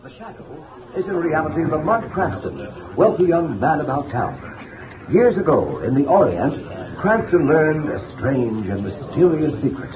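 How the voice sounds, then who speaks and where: A man announcing and narrating in an emphatic, booming 1930s radio style. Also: sing-song, measured pace, noisy background. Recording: tinny 1930s radio broadcast with music.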